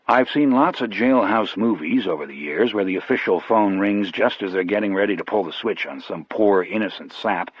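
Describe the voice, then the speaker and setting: Low, husky male audiobook narrator. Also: no echo. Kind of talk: scripted first-person storytelling. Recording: dry but muffled, dull audio.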